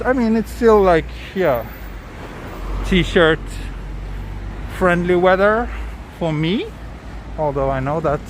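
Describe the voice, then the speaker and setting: Swedish guy chatting on a city walk stream, stops and restarts a lot, street noise behind. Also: fairly high pitch. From Sweden. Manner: hesitant, thinks aloud with restarts and "I don't know". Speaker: livestream walking tour host. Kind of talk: casual live-stream chat reading viewer questions. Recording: outdoor traffic noise.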